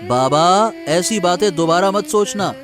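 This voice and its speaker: A man playing a devoted grown son in a cartoon, gentle and reassuring. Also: high voice, animated, a little slow, over music. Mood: tender reassurance. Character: devoted, tender grown son of a poor villager.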